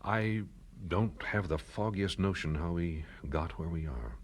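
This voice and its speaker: An actor playing an earnest, regretful businessman husband in a 1970s radio drama, gentle and pleading. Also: sits low, a little slow.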